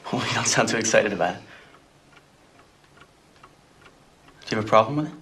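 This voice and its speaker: An actor playing a volatile, domineering young man in a film drama, speaking intensely and emphatically, quick to flare up. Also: lowish voice, a bit bright, slight background noise, natural voice. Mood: eager, needy. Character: volatile, domineering young man, fiercely devoted to his companion.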